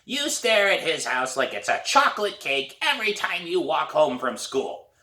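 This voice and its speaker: A raspy, stern old woman from a storybook, acted out by a man, scolds and needles in a slow, drawn-out way. Her hoarse voice is pushed forward and sharp, in a room with noticeable echo. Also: on the high side, big pitch swings, recorded from a distance, pitched above the performer's own voice. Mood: stern, suspicious.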